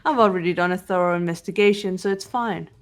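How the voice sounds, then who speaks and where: A woman playing a cool, analytical teenage girl detective in a game voice-over, speaking in a measured, serious way. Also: on the low side, close to an everyday voice. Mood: businesslike. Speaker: amateur voice actor. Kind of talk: fan voice-over of a visual novel game. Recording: game music under the voice.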